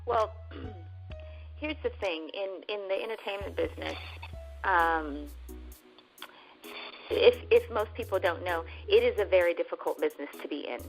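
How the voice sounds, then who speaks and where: A woman from Northern California chatting as an actress guest in an interview, animated and laughing as she talks, bringing up her faith. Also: lowish voice, moderate pace, long pauses, soft background music, poor audio. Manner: animated, laughing, with religious references. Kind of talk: casual interview chat.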